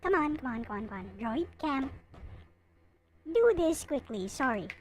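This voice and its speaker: A woman livestreamer with a high, very lively voice talks casually to her chat. She takes her time, hesitating and murmuring to herself as she goes. There is light but noticeable noise in the recording. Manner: halting, muttering to self while setting up. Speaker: livestream host.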